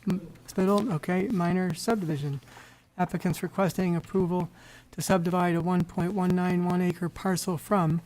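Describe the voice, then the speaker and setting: Slightly hoarse American man, even and mellow. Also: high voice, poor audio. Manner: informal, halting. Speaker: meeting chair. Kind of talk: presiding over a public board meeting. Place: town board meeting room.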